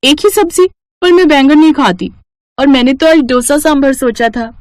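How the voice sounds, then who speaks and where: A woman's voice: sits high, normal speed, no room sound, clean studio sound, voice pushed up, natural voice. A woman voicing a young daughter-in-law in a cartoon family story, defensive and whining. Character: young daughters-in-law, excuse-making and squabbling housewives. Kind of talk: Hindi moral-story cartoon voice acting.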